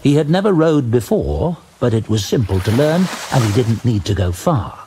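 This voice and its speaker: A man reading dramatic scripted fantasy narration as an audiobook narrator, measured and deliberate. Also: medium pitch, sing-song, noisy background.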